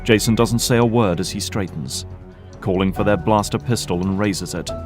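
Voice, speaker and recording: A man's voice: lowish voice, moderate pace, soft background music. A man narrating a scripted audio drama.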